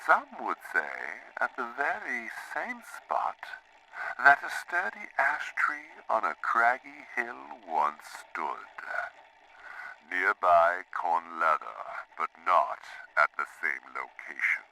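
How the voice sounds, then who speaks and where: Sardonic mystery suspect, slow, super swoopy intense sharp voice, audio drama. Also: male voice, low voice, very animated, creaky, exaggerated voice, poor audio. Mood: cryptic. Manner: theatrical, deliberate, wry. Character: cryptic, sardonic, self-possessed suspect under questioning.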